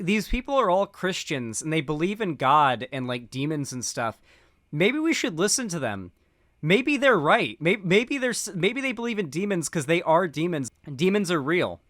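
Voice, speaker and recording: A man's voice: fairly high pitch, a bit bright, no echo, studio quality. A young man in casual streamed political commentary, rambling and sarcastic, full of fillers and restarts.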